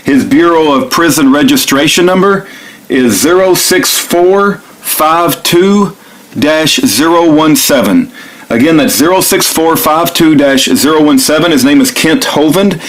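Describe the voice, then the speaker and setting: Higher-pitched man, earnest and halting. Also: animated, a bit bright, low-quality recording. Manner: halting, repetitive, earnest pleading. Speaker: activist video maker recording himself making a phone call. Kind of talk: earnest phone message and informal narration to viewers.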